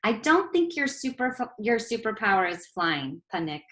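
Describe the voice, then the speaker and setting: A woman voicing a calm, reassuring storybook mother with a gentle, soothing way of talking. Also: a little high, normal speed.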